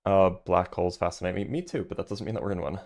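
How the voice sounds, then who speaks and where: Man, very low deep voice, livestream host, reads a paper aloud, chatty asides, lots of ums. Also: fast, gravelly, darkish tone. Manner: loose and chatty, many fillers, answers chat. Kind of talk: casual livestream, reading aloud and commenting.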